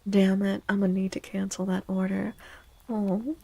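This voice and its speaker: A woman speaking in soft, hushed, breathy ASMR style, often slipping into whispers, chatting playfully with livestream viewers. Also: mid-range voice, flat delivery, unhurried. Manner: playful, teasing. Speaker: ASMR streamer and tarot reader.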